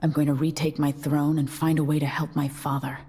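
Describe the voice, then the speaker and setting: A woman's voice: sits low, level tone, brisk, dark tone, breathy edge. A woman voicing a grave, determined young deposed empress in a video game, firm and controlled. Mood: resolute. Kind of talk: scripted video game dialogue.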